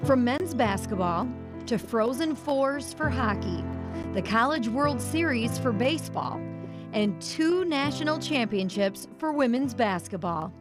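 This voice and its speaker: A woman's voice: fairly high pitch, expressive, a little slow. An American woman reporting on television, reading scripted narration for a sports news feature. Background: music bed.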